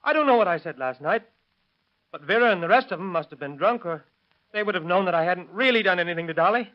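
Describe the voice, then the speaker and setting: High-voiced mousy little man, old radio. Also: lively intonation, fast. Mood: meek, pleading. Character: timid henpecked little man, boastful and defiant when drunk. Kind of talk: 1930s radio drama acting. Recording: dull vintage radio recording.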